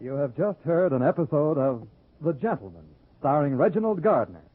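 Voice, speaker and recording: A man's voice: a little high, very animated, moderate pace, husky. A man announcing in formal 1940s radio style, reading out the programme and credits. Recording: tinny, phone-like old radio recording. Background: theme music.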